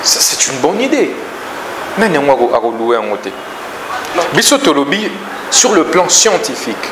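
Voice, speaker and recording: A man's voice: fairly high pitch, sing-song, moderate pace, echoey room, background noise. A man with a Congolese accent, a political guest on a talk show, explaining his points emphatically and lecturing through them one by one.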